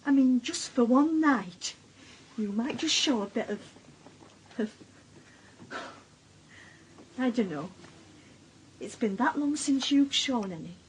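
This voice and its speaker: An actress playing a fed-up, put-upon Northern English wife in a radio drama, blunt, weary and complaining. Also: female voice, fairly high pitch, expressive, slow, pauses often, a little hiss or hum, rough recording. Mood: exasperated, bitter. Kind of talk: naturalistic radio drama acting.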